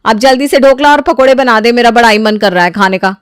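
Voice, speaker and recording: Woman acting a haughty, high-pitched angry young wife. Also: fast, smooth, no room sound, studio quality, natural voice. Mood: demanding. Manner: scolding, imperious. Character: spoiled, hot-tempered young daughter-in-law, haughty and indignant.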